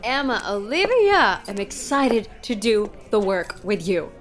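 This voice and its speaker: A woman's voice: mid-range voice, big pitch swings, measured pace, soft background music. A woman playing a gushing, theatrical stage director in a comedic audio drama, speaking with ceremony. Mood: effusive. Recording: background noise, clicks.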